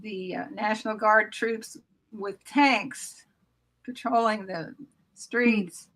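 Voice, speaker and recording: An older American woman in her seventies reminisces casually as an interview guest. Her voice is bright and creaky, at a middling pitch. She speaks from home over a video call. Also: steady tone, slow, rough recording. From Oklahoma, United States. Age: 70s. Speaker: guest author. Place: small room at home, indoors. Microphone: computer mic on video call.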